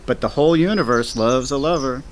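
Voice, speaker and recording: A man's voice: mid-range voice, fairly quick, a little hiss or hum, close to an everyday voice, rough recording. An actor playing an easygoing, teasing male space station commander with a casual, wry manner in an audio drama. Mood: playful, fond.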